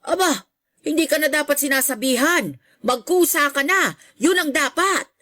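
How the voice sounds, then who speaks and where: A woman's voice: high voice, lively intonation, normal speed, sharp tone, dry recording, clean studio sound. A woman playing a middle-aged mother in a radio drama, scolding and sarcastic as she belittles her grown son. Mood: demanding. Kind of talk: Filipino radio drama acting.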